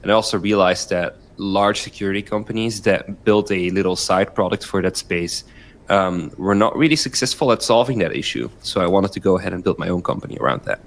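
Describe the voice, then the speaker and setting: Man explaining, slightly low voice. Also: a little fast, gravelly. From Belgium. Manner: fluent explainer, tags points with "right?". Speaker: guest, company founder. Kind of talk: explanatory interview answers.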